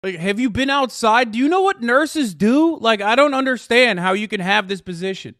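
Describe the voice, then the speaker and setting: A man's voice: extremely high pitch, animated, moderate pace, fairly bright tone, no room sound, studio quality. A man streaming, reacting to clips with casual, exasperated, profane commentary. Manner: exasperated, sarcastic, swears a lot.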